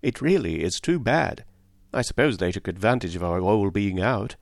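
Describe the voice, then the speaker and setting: Man playing a good-natured gentleman, blustering, indignant, low, gravelly, dry read. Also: expressive, moderate pace, dry recording. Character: good-natured Englishman, easily riled.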